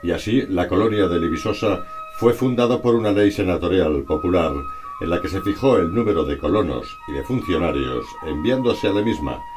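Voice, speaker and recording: An extremely deep-voiced man narrates history. He reads from a script in formal, measured phrases, explaining as he goes. Music plays faintly behind him. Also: lively intonation.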